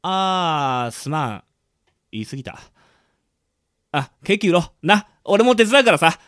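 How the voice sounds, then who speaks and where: A man's voice: on the high side, very animated, measured pace, a bit bright, no room sound, studio quality. A man playing a breezy, pushy, casual young convenience store worker in a radio drama. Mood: pushy, teasing. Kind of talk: amateur Japanese radio drama acting.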